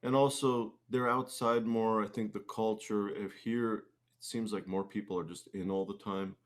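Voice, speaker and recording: A man answering questions casually as an interview guest, often halting and rephrasing his thoughts midway. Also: on the low side, fairly even intonation. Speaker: interview guest, singer-musician.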